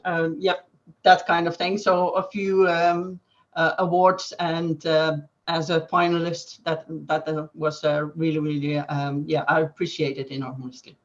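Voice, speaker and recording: A woman from Belgium with a Flemish accent, explaining things as an interview guest in halting phrases with frequent pauses. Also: sits low, level tone. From Belgium, Flanders. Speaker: guest digital twin consultant. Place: online webcast. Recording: dull, band-limited web call audio.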